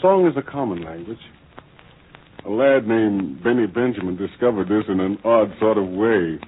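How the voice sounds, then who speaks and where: An American man delivering formal, deliberate, oratorical narration in 1940s radio style, on a tinny, noisy old recording. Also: on the low side, lively intonation.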